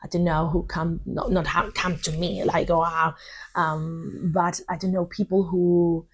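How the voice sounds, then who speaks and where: Woman, young adult, native Spanish speaker, husky low voice, thoughtful rambling English teacher. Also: level tone, a little slow. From southern Spain. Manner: thoughtful, rambling, with many fillers. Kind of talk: informal video lesson.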